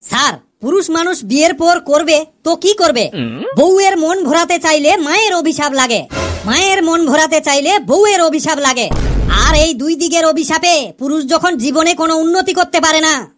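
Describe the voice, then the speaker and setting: A woman's voice: sits high, expressive, moderate pace, slight background noise. A woman voicing a cheeky male pupil in a comedy cartoon, explaining things to the teacher with mock earnestness. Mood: mock-earnest. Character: cheeky male pupil Kalu, joking about wives and women.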